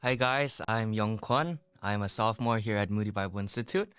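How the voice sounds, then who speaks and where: A man in his twenties, born in Korea and raised in Brazil, telling animated stories as an interview guest. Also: on the low side, fairly quick, clear, resonant tone, no room sound, faint music behind. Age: 20s. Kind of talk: casual interview answers.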